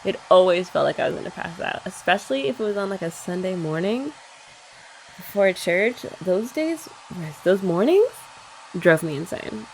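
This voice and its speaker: Young woman, ASMR girlfriend roleplay, sweet and soft-spoken, slightly low pitch. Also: lively intonation, normal speed, creaky, a little hiss or hum, poor audio. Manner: soft, chatty and sweet, often laughing softly.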